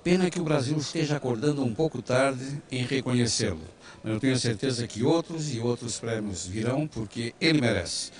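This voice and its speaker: A man's voice: mid-range voice, brisk. A man giving a spoken tribute as an interviewee for television.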